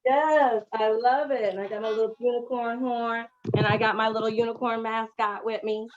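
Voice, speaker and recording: A cheerful, happy-go-lucky woman casually pitching her products as a guest seller. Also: fairly even intonation, moderate pace, muffled recording. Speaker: guest vendor of essential oils.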